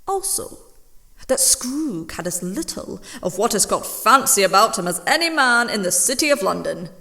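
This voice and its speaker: A woman narrates a classic tale in a theatrical audiobook style. Her pitch moves widely and she takes her time over each phrase, sometimes sinking to a hushed, breathy delivery. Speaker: audiobook reader and voice actress. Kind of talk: dramatic scripted narration of classic fiction.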